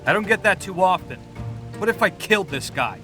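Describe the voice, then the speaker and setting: A man's voice: high voice, lively intonation, brisk, music in the background. A man playing a blunt, easygoing male demigod brawler. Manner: laid-back, blunt.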